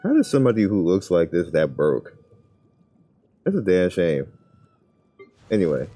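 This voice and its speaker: A man with a deep, mellow voice commentates casually on a game. He thinks aloud at a slow pace, muttering informal, slangy remarks to himself. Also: wildly swooping pitch. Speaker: gaming video creator.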